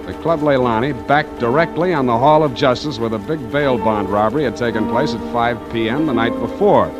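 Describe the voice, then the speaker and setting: An American actor playing a cynical hardboiled private detective in 1940s radio noir, dry, sardonic and terse. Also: male voice, a little fast, lightly acted. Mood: wry narration. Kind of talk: 1940s radio noir acting and first-person narration. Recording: old radio recording with music and rumbling noise.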